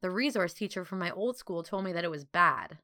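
A woman's voice: fairly low pitch, expressive, brisk, sharp tone, dry recording, clean studio sound. A woman voicing a thoughtful, hesitant schoolboy who explains earnestly in a storybook.

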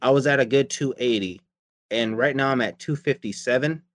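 A man's voice: mid-range voice, brisk, a bit bright, no echo. A man chatting casually about his diet and workouts, rambling and profane, often repeating himself. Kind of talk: casual chat.

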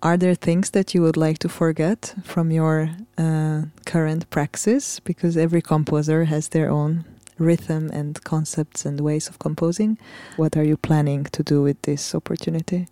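A gravelly, mellow voice with a flat delivery, from a Hungarian-native singer and composer hosting the interview. The speech is deliberate and earnest. It sounds dry and close. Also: medium pitch, no echo. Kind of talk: interview questions.

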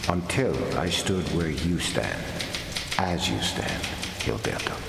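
An actor playing a brooding man in a radio drama, speaking literary lines in a solemn, halting way. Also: a bass voice, sing-song, gravelly, very noisy, heavily acted. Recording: cavernous long reverb under loud music.

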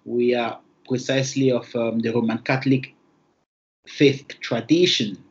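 Cameroonian-accented man, native Lamso speaker, measured formal interview answers, mid-pitched voice, slightly dull lo-fi recording. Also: flat delivery. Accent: Cameroonian English. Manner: careful, formal phrasing with thoughtful pauses. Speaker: interview guest.

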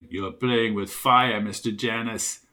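A man voicing a stern, coldly polite older German interrogator in an audio story, formal, measured and quietly threatening. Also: on the low side, steady tone, voice pushed down.

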